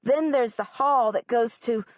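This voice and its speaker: A woman's voice: a little high, unhurried, no room sound, muffled recording, close to an everyday voice. A woman voicing an earnest, literal-minded young girl who speaks bluntly and matter-of-factly.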